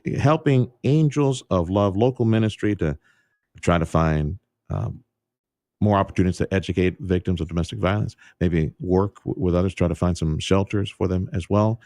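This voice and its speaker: Deep guy hosting talk radio, folksy, dry studio mic. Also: normal speed, no echo. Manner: relaxed, stumbling restarts, folksy. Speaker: radio talk show host. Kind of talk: casual radio interview. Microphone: studio microphone.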